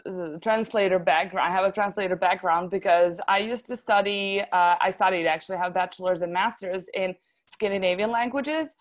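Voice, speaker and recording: A woman's voice: mid-range voice, normal speed, few pauses. Serbian-accented woman chatting informally. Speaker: interview guest, translation agency founder. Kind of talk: casual interview conversation.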